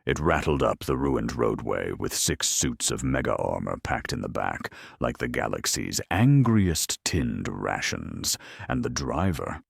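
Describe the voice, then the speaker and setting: A man reading a scripted dramatic story in a measured, deadpan manner with dry humour. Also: very deep voice, creaky, breathy edge, dry recording. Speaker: narrator.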